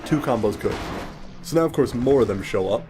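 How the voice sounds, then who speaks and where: A man's voice: medium pitch, animated, brisk, noisy background. A man giving casual game commentary as the host, explaining things in a conversational way. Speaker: gaming video host.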